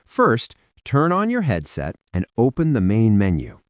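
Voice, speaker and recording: A man's voice: on the low side, huge pitch swings, measured pace, no echo, clean studio sound. A man narrating a scripted how-to guide in an instructional, step-by-step way with informal asides. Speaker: tutorial narrator.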